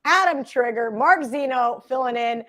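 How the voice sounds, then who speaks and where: A woman with a raspy voice hosting a sports betting podcast, joking and chatty as she reads the sponsor spot. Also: sits high, normal speed, fairly bright tone. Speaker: podcast host.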